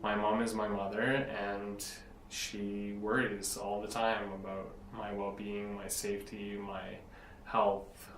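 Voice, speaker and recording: Young man, flat low voice, echoey room. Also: slow pace. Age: 20s. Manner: earnest, rambling, searching for words. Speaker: interviewee, blogger. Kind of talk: casual interview. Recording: echoey room from a distance, faint noise.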